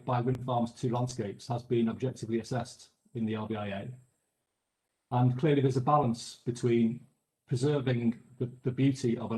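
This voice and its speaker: A man from England giving measured expert testimony at an inquiry, often restarting his sentences. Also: medium pitch, dark tone, echoey room, low-quality recording. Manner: measured, hesitant with frequent restarts. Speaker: expert witness.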